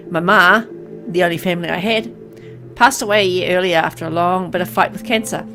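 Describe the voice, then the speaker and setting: A woman's voice: fairly low pitch, lightly acted. A woman reading the part of a bitter, resigned dying man of twenty-five in solemn, introspective visual novel narration. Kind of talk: dramatic first-person visual novel narration. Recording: music behind the voice.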